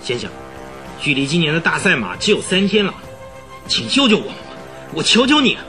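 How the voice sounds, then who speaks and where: An excitable young horse trainer for a radio play. His voice is high and swings widely in pitch as he pleads and stammers. Music runs underneath. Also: fairly quick, exaggerated voice. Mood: desperate pleading. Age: young adult. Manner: agitated, exclaiming, stammering. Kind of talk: radio drama dialogue.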